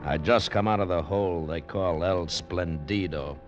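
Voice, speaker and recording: Man doing a tired sardonic boat captain, low voice, old radio drama, kinda muffled. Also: moderate pace, faint music behind, natural voice. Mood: wry. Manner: dry, sardonic first-person narration. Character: weary, wry charter boat captain. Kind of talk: 1940s radio acting. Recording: dull old radio recording.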